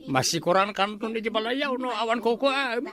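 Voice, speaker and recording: A chatty village gym owner with an Ilocano accent, coaxing and teasing in a radio comedy. The voice is very high for a man and sharply bright. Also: fairly quick. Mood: boastful, persuading.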